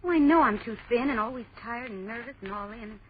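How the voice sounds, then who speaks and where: Quick-talking American woman, acted, vintage radio ad. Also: on the high side, natural voice. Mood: doubtful. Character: chatty, earnest American woman in a tonic advertisement. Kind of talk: 1940s radio advertising acting. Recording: dull old radio broadcast.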